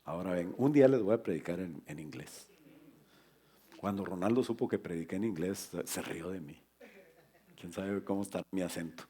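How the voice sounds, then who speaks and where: A husky, lower-voiced man preaches with animated energy, joking with the congregation and then pressing his points home. He is a middle-aged pastor speaking in a church. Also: moderate pace. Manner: lively preaching with humor and asides to the congregation. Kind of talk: sermon.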